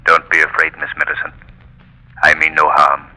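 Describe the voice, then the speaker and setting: An actor playing a mysterious invisible crime fighter, speaking with measured reassurance through a telephone-like filter in 1930s radio mystery style. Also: male voice, extremely low pitch, completely flat delivery. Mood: calm, reassuring. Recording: echoing 1930s radio recording.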